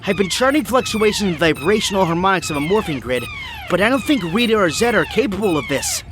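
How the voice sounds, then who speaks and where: A man's voice: high voice, wildly swooping pitch, fast talker, noisy background. A man playing an earnest, brainy young hero in an audio drama, urgent and technical in manner. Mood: worried.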